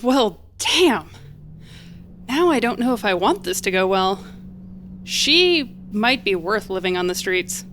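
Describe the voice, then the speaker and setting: A woman playing an awkward, bluffing young repair worker in a comedy audio drama, halting and wryly correcting herself. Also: medium pitch, big pitch swings, fairly bright tone, a little hiss or hum. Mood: wry, uneasy. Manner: halting, self-correcting, wry.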